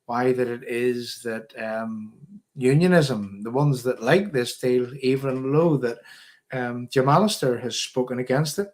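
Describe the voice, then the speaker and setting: A man hosting informal political commentary in a halting, conversational way. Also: medium pitch.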